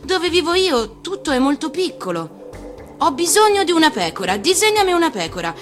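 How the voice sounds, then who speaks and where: A woman's voice: high voice, big pitch swings, fairly quick, soft background music, pitched above the performer's own voice. A woman voicing a small, innocent little prince from another planet in a storybook reading, childlike, earnest and demanding. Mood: insistent, fussy.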